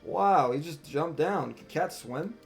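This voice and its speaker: A man's voice: a little high, lively intonation, slow pace, creaky. Man streaming game commentary over music. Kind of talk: live gameplay commentary, reading dialogue aloud. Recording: music behind the voice.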